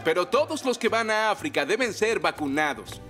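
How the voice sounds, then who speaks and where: A man voicing a playful, gently teasing father in an animated cartoon dub, with a mock-serious manner. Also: high voice, moderate pace, over music.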